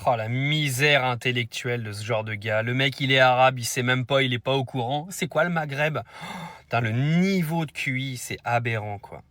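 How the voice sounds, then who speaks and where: Male commentator, forceful bright tone, dry close sound, blunt and indignant. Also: medium pitch, normal speed, no echo. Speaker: commentator reacting to a video. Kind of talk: opinionated reaction commentary.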